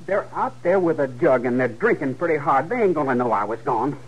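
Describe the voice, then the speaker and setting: An actor playing a defiant, earnest teenage frontier son with a rural American Western drawl in a 1950s radio western. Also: male voice, medium pitch, expressive, a little fast, slightly rough, lo-fi sound. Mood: earnest, uneasy. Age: young adult. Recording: old 1950s radio recording.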